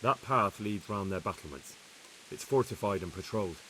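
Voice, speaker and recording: Game role: humble young soldier reporting to his superior, fairly low, plain and respectful. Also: expressive, normal speed, a bit bright, slight background noise, rough recording. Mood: careful, matter-of-fact. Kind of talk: video game cutscene acting.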